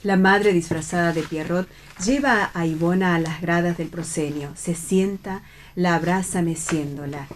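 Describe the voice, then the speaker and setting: Una mujer lee las acotaciones como narradora de teatro leído por radio. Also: female voice, fairly low pitch, a little slow, slight background noise. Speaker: narrator reading stage directions. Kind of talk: scripted narration for radio theatre.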